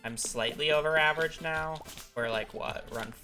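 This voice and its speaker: A man's voice: on the high side, normal speed, sharp tone, soft background music. A man talking casually over a live gaming stream, explaining at length with many fillers, his voice congested from a recent illness. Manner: rambling, filler-heavy explanations.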